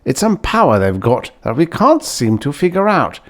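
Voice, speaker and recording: A man's voice: on the high side, sing-song, moderate pace, voice pushed up. A man voicing a probing uncle in an audiobook story, musing and slyly coaxing.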